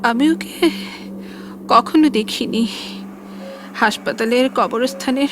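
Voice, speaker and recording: A woman playing a weak, frightened hospital patient in an audio drama, speaking haltingly, word by word. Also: sits high. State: weakened, labored.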